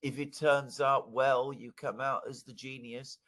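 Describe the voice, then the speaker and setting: Male analyst, mid-pitched, conversational commentary, deliberate, emphatic repetition. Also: level tone, a crowd in the background. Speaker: interview guest, political analyst.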